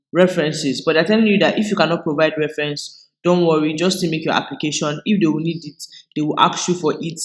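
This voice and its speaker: Nigerian male host, tutorial voice-over, step-by-step explainer, slightly higher voice. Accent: Nigerian English. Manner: explains step by step, relaxed, with frequent restarts. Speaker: video host. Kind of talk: screen-recorded tutorial walkthrough.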